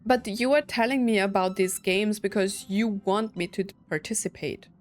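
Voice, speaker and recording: A woman streamer reacting to a game in an informal, slangy way. She thinks aloud in a mid-pitched voice, with faint music playing behind her. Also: normal speed. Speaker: gaming streamer. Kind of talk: casual reaction commentary over a game.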